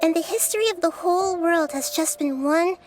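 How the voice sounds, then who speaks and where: A woman voicing a wise, serene little girl deity in a video game, speaking in a measured, musing, matter-of-fact way. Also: extremely high pitch. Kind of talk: video game cutscene voice acting. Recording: game music underneath. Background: music.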